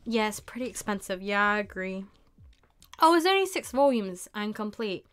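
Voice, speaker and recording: A young woman hosting a casual live stream, thinking aloud in an unhurried way with murmured asides. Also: medium pitch, animated, a bit bright, clear, resonant tone. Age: young adult. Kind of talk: casual chat while shopping online. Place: small indoor room with bookshelves.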